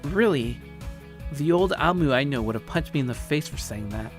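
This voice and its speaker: A man's voice: medium pitch, expressive, normal speed, faint music behind, natural voice. A man voicing a short, shy, easily flustered young story character with a hesitant stammer. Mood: hesitant surprise. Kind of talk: acted story dialogue.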